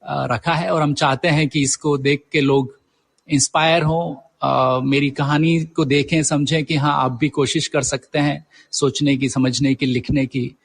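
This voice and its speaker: A man's voice: fairly high pitch, level tone, a little slow, creaky, husky. A middle-aged man answering as a guest writer in a conversational interview, hesitantly searching for his words.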